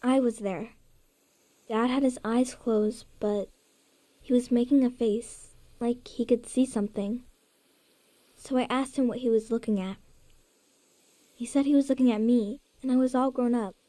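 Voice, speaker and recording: A woman voicing a young boy in a game, speaking softly about his late father. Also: fairly high pitch, steady tone, unhurried, long pauses, natural voice. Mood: grieving.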